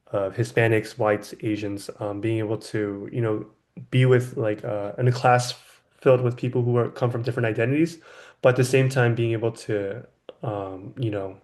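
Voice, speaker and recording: A man's voice: fairly low pitch, darkish tone. College-age man hosting a podcast, friendly and casual, from California, filler-heavy. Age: 20s. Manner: friendly, lots of ums and you knows. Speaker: podcast host. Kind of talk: casual chat.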